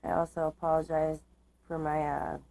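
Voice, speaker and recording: A woman giving a tarot card reading, reading aloud and explaining in a measured, halting way, her voice slightly starting to give out. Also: low voice, flat delivery, vocal fry, mellow, poor audio. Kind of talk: tarot reading, part read aloud from cards, part informal explanation.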